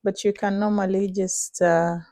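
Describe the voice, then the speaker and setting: A young woman with a Kenyan accent talking through her cooking in a casual vlog, pausing often with "uh". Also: mid-range voice, little pitch movement, dark tone, no echo, studio quality. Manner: unhurried, many "uh" pauses while cooking. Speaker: vlogger.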